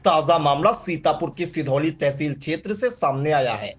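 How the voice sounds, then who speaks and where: A man's voice: on the high side, lively intonation, normal speed. A man reading a scripted news report. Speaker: news narrator.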